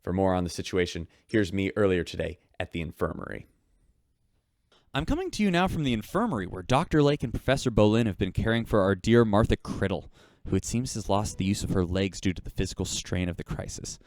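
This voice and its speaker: A man's voice: fairly low pitch, very animated, a little fast, a little mellow, dry recording. A man playing a wry, chatty radio host aboard a spaceship, reading news in a scripted sci-fi audio drama. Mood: wry, upbeat.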